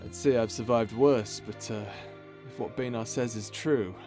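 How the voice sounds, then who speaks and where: A man acting in an ASMR audio drama as a caring, steady space pirate captain with a casual, teasing, reassuring manner. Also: mid-range voice, normal speed, close to an everyday voice. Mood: brisk, worried. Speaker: voice actor in audio roleplay. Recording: music under the voice. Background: music.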